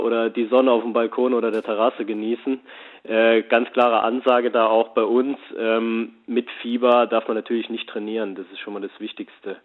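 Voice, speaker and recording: A man calls in over a thin, tinny phone line and explains training advice in a relaxed, rambling way, stopping often for fillers. His voice sits at an ordinary middle pitch. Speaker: athletic coach, phone guest. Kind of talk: casual phone interview. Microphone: telephone.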